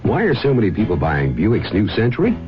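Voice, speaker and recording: A man's voice: on the low side, wildly swooping pitch, fairly quick. A man announcing a scripted radio advertisement in 1970s commercial style. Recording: dull radio recording, loud music bed.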